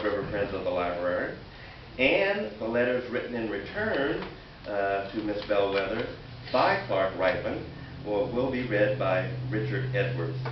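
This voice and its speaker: A very reverberant, noisy live recording of a man introducing a stage reading. His voice is low and he speaks haltingly, pausing mid-phrase as he addresses the audience. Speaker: host introducing a play reading. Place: large room, amplified live event. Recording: very echoey, distant, muffled, noisy room recording.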